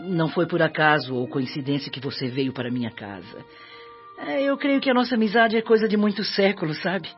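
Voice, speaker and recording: A woman's voice: low voice, a little fast, natural voice. An actress playing a kindly, devout elderly mother spirit in a Brazilian radio drama, speaking Portuguese in an earnest, maternal, moralizing way. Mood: grateful, affectionate. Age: older. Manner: maternal, earnest, moralizing with gentle tag questions. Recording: dull audio with background music.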